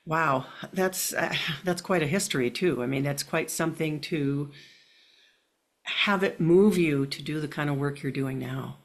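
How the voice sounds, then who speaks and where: A woman's voice: deep voice, a little slow. A woman hosting an interview, asking reflective questions and affirming her guest often. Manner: encouraging, with many soft affirmations. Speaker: interview host.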